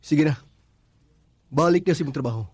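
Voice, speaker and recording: A man's voice: on the high side, a little fast, darkish tone, husky, dry recording. A man playing a suspicious, concerned young son of a boss in a radio drama, firm and probing, with a Filipino accent. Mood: probing, stern.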